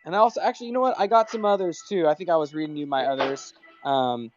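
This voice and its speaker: A man's voice: on the high side, quick pace, no room sound, soft background music. A man hosting a casual online audio chat, talking in a loose, rambling way with lots of fillers. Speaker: host. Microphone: remote call audio. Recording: dull lo-fi online call audio.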